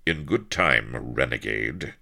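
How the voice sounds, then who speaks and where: A man voicing a cold, pompous, well-bred gentleman hero in an audiobook, with an upper-class English accent and a crisp, commanding manner. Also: extremely low pitch, animated, slow, heavily acted. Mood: cold disdain.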